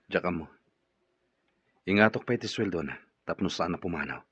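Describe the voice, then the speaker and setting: Gloomy, husky, very low-voiced man. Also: expressive, normal speed, a bit bright, no echo, full character voice. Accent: Ilocano Filipino. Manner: downcast, grumbling. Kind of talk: Filipino radio drama acting. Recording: dull lo-fi radio recording.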